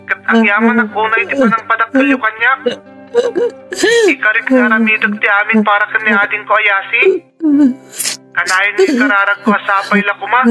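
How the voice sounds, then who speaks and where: A woman's voice: mid-range voice, fairly even intonation, normal speed, natural voice, poor audio. A woman playing an earnest, devoted older sister, pleading and prayerful, in an Ilocano radio drama. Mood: grateful, earnest. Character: earnest, devoted older sibling praying for a younger one. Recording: radio drama with music underneath.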